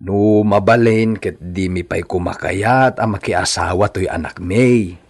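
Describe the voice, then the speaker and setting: An actor with a Filipino Ilocano accent playing a cautious, deliberate father of a grown daughter in a radio drama. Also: low voice, darkish tone. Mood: cautious, hesitant.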